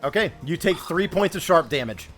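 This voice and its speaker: Higher-pitched man running a tabletop game over music. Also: expressive, moderate pace, a bit bright. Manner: casual, swearing, exasperated banter. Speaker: game master. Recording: music behind the voice.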